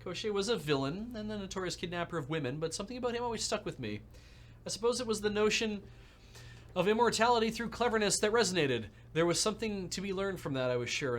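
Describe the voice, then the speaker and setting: A man reading aloud the lines of a polite, wry Russian drone engineer, with a faint Russian accent and formal, measured speech. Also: high-pitched, a little hiss or hum, natural voice. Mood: wry, courteous.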